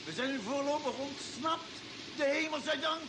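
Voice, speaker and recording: A man's voice: very high-pitched, moderate pace. An actor playing an alert male spaceship crewman in a radio play, speaking in a terse, technical way. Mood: urgent, relieved. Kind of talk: radio drama acting. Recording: noisy old radio play, heavy hiss.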